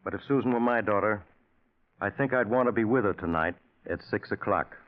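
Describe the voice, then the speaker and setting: A solemn, concerned confidant voiced by a man in a vintage radio mystery. He has a lowish male voice and speaks with earnest, deliberate weight, as one who takes omens seriously. The recording is thin and phone-like, as from a 1940s broadcast. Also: lightly acted. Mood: urgent, foreboding. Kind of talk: 1940s radio suspense drama acting.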